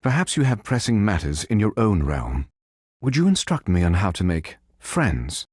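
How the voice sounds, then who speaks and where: A calm android superhero for a video game. The voice is low and lively in pitch, recorded very dry. He speaks with formal, precise, logical phrasing, like a machine of noble purpose. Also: normal speed, breathy edge, dry recording. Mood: measured, analytical. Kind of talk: video game character voice lines.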